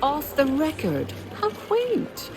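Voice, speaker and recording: A haughty, neglected politician's wife with very animated intonation. She is bitter and arch, dripping theatrical sarcasm in a game cutscene over clear music. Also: high voice, slow pace, full character voice. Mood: arch, scornful. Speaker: senator's wife. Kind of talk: acted game cutscene dialogue.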